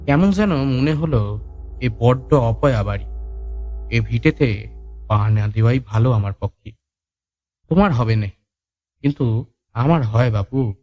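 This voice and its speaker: An actor playing a proud, chatty older village healer in a Bengali audio story, speaking colloquial rural dialect with emphatic, boastful assurance. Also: male voice, mid-range voice, lively intonation, measured pace, pauses often, a little mellow, highs cut off, faint music behind, natural voice. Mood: confiding. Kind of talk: audio story character dialogue.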